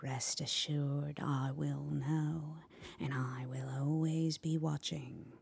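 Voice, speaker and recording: A woman voicing a sweet female storybook spider, silken, hushed and slow, with quiet menace. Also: deep voice, little pitch movement, gravelly, slightly rough, heavily acted. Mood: quietly menacing. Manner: slow, gentle, deliberate.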